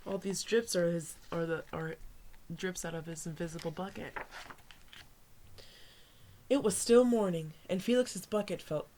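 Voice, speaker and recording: A woman reading a picture book aloud as a teacher to young children, pausing for asides to her listeners. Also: fairly low pitch, warm tone, slight background noise.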